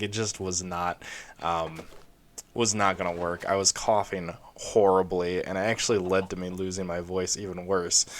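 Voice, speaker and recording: A man's voice: low-pitched, sharp tone. Young man with a scratchy throat. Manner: rambling, many fillers and restarts. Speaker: gaming video creator. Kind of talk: casual let's play commentary.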